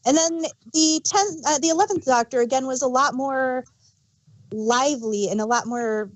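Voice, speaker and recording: A woman's voice: sits high, normal speed, low-quality recording. A woman co-hosting a casual fan discussion, rambling and searching for words as she gives emphatic examples. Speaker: podcast co-host.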